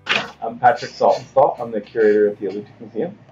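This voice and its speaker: A man's voice: on the low side, steady tone, a little fast, a little mellow. A middle-aged man, a museum curator, explaining objects with fillers. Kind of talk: explaining artifacts. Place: indoors, at a table in a museum workroom. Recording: roomy and dull, with music.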